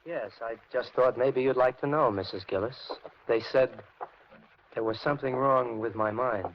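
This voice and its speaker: An actor playing a resentful, unstable young handyman in a 1950s radio suspense drama, accusing and taunting in a deliberate, brooding manner. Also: medium pitch, natural voice. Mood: brooding, sullen. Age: young adult. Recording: muffled, noisy 1950s radio recording.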